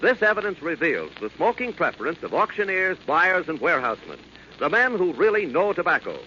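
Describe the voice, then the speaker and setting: Male commercial announcer, highish voice, emphatic, salesmanlike, 1940s radio, muffled with faint noise. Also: very animated, fairly quick, bright, forceful tone. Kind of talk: 1940s scripted radio ad read.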